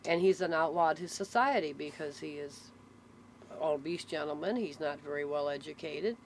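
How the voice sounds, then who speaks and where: A woman's voice: sits low, normal speed. A woman explaining things in a casual, conversational way, with firm opinions of her own. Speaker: interviewee explaining local nicknames. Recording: noisy ambient recording with vehicle sounds.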